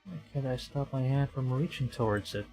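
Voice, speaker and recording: Excitable male game streamer over music. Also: mid-range voice, brisk, low-quality recording. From Philippines. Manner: excitable, slipping between dramatic reading and chatty asides. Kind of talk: game livestream, reading visual novel aloud with casual commentary. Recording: music behind the voice.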